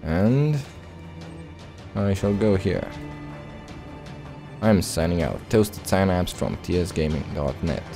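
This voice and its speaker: A man's voice: deep voice, expressive, takes it slowly, gravelly, pauses often, music in the background. A man giving casual, informal gameplay commentary as a let's play host, a little self-deprecating.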